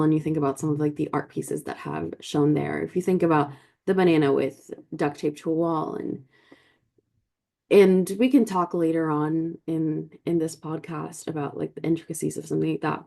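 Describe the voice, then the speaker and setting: Low, creaky young woman podcasting. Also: dark tone. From Colombia. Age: 20s. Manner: informal, peppered with "like" as a verbal habit. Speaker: podcast host. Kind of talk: casual reflective personal storytelling.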